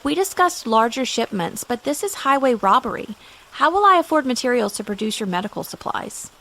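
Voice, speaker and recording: An indignant, haughty supplier woman complains in a high, swooping voice. Her tone carries a gravelly edge. A faint hiss runs behind her. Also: sing-song, fairly quick, fairly bright tone, exaggerated voice, low-quality recording.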